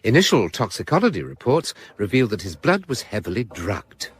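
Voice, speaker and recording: A low-pitched male narrator reads a detective novel in a grave, measured way. The delivery is scripted and swings widely in pitch. There is clear noise behind the voice. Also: very animated. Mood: grave narrating. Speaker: audiobook narrator reading a crime novel.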